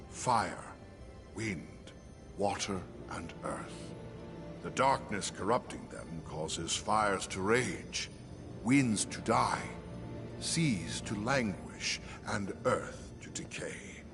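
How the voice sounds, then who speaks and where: An actor playing a solemn, stately ruler who speaks gravely and formally in a video game cutscene. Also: male voice, lowish voice, lively intonation, exaggerated voice. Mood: grave, foreboding. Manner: grave, measured, theatrical. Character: solemn, stately male ruler recounting prophecy. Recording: game music behind. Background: music.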